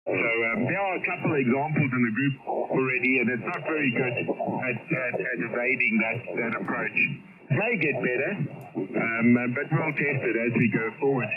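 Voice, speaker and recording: A muffled radio signal with heavy babble behind it carries a man's voice. He is an amateur radio operator in casual conversation, laying out his thoughts reflectively and haltingly. His pitch sits in the middle for a man. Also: a loud crowd. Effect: shortwave radio transmission.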